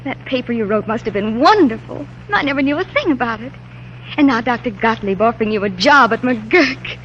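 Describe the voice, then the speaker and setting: An American actress playing a devoted, perceptive young doctor's wife in a 1940s radio drama. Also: female voice, fairly high pitch, lively intonation, brisk, a bit bright. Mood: proud, urging. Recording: muffled, faintly noisy 1940s radio recording.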